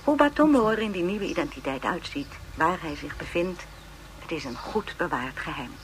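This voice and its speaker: Actor playing pompous film lecturer, erudite, formal, very animated intonation, faint noise. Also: medium pitch, lightly acted. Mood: pompous, lecturing. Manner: measured phrasing, pompous, donnish. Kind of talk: scripted documentary narration in a radio play.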